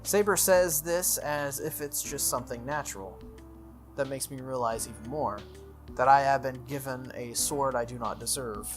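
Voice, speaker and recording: A man hosts a game playthrough, reading the story's narration aloud. His voice sits at a medium pitch, with game music running underneath. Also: moderate pace. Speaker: gaming channel host. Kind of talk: visual novel narration read aloud.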